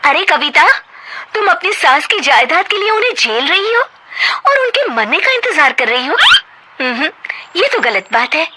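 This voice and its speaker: A woman's voice: high voice, very animated, moderate pace, pauses often, very noisy, voice pushed up. A woman voicing a wronged, devout young daughter-in-law who fights back, in an emotional, melodramatic storybook manner. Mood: scolding.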